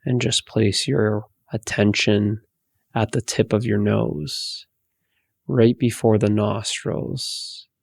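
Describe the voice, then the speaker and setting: Guy doing a guided meditation, soft n soothing, lots of fry, super dry close sound, long pauses. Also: on the low side, steady tone, dry recording. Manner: soothing, hushed, unhurried instruction with long pauses.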